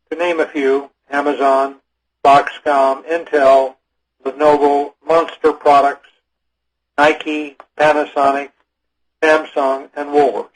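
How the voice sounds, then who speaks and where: A man's voice: on the high side, low-quality recording. A man formally reading a scripted business report as a company executive on an earnings call. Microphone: phone or conference call line.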